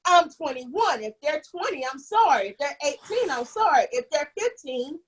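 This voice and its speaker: A young adult woman telling her own story as an interview guest, candid and animated, often restarting sentences and acting out what others said. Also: high-pitched, moderate pace. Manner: animated, restarts often, acts out quoted voices.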